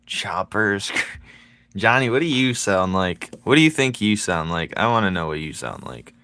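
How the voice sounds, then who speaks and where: A man chatting casually with his viewers while he draws, playful and quick to laugh as he banters with them. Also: lowish voice, very animated, sharp tone, clear, resonant tone, dry recording. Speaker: streaming artist. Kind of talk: casual chat while drawing.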